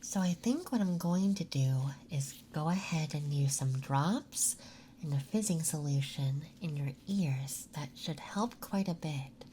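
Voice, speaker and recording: A gentle, reassuring ASMR performer playing a nurse, speaking softly and breathily through a soothing medical exam roleplay. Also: mid-range voice, unhurried, slight background noise, poor audio. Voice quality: soft, breathy whispering.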